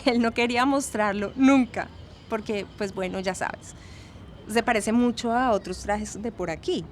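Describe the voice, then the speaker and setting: A woman's voice: on the high side, a little slow, lightly acted. An actress playing a frank, protective young Colombian woman giving casual testimony in an audio drama, dropping in English phrases. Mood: fond. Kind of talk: documentary-style testimony, scripted audio drama. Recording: voice over faint ambient noise.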